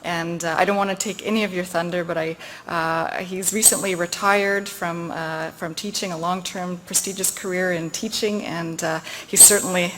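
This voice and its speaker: Woman clinician, lowish voice, halting introduction full of fillers, faint hall noise. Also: fairly even intonation, a bit bright. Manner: halting, many fillers, heartfelt. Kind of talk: speaker introduction. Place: lecture hall.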